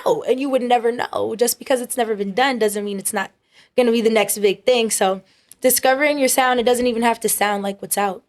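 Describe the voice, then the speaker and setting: A young woman with a naturally high-pitched voice, chatting as a guest in a casual interview. She talks loosely, with plenty of fillers, and laughs easily. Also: brisk. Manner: relaxed, laughs often, many fillers. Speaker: singer-songwriter guest.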